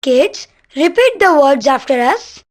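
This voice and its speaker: A woman on a kids' show asks quiz questions and cheers the answers, careful and encouraging. She speaks high and slowly, spacing her words out. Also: big pitch swings. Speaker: children's show co-presenter. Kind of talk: quizzing kids, teacher-like.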